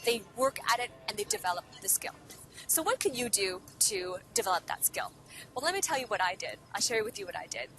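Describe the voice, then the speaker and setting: A woman's voice: mid-range voice, big pitch swings, brisk. A woman from Hong Kong whose first language is Cantonese, teaching public speaking in an earnest motivational video lesson and asking the viewer many rhetorical questions. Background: background music.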